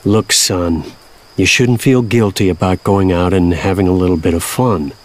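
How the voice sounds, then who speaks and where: An actor playing a gentle, concerned middle-aged farmer father, hesitant and plain-spoken, in an audio drama. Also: deep voice, normal speed, lightly acted. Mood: concerned, puzzled. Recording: music underneath.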